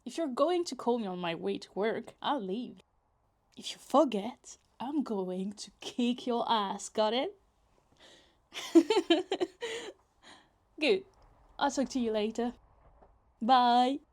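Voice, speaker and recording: Actress as clingy, lovesick yandere girl for roleplay ASMR: higher female voice, possessive, teasing, pouty, conversational. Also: animated, slow, pauses often. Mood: teasing, bossy. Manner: teasing, pouty, dramatic, casual swearing.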